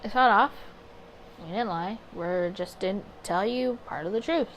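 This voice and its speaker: A woman's voice: medium pitch, measured pace, slight background noise, low-quality recording. A woman hosting a visual novel playthrough, reading aloud and commenting to herself, sometimes stumbling over words. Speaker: gaming video host.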